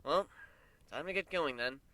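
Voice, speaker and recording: A man voicing a confident, good-humoured, teasing male pony in a story, in a plain, energetic way. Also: a little high, measured pace, voice pushed up. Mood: excited.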